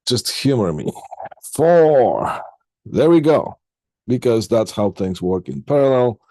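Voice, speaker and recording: A man with a dark, husky voice teaches a technical class in a close, dry sound. He explains things informally, one step at a time, halting as he goes and checking in with students. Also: medium pitch, sing-song, no echo, rough recording. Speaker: instructor. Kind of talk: live technical lecture with questions to students.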